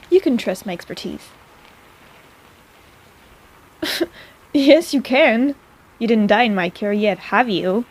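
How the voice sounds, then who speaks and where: A woman's voice: mid-range voice, darkish tone, a little hiss or hum, natural voice, rough recording. A woman playing a snarky but caring apothecary in an intimate fantasy audio roleplay, chatty and teasing in a casual way. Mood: reassuring, wry.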